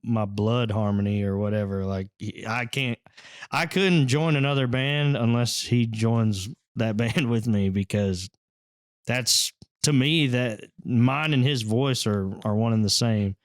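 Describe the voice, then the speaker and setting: A man's voice: medium pitch, a little slow, dry recording, studio quality. A man from Texas, a band's lead singer talking in a casual, modest, plain-spoken way as a podcast guest. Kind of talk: casual chat.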